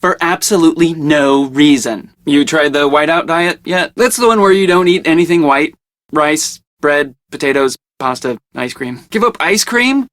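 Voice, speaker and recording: An actor voices a gossipy crew member on a movie set for an adventure game. The voice is medium in pitch and dryly recorded, chattering in short, punchy phrases. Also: animated, normal speed, a bit bright, no echo, clean studio sound, lightly acted. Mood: chatty. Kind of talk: scripted adventure game dialogue.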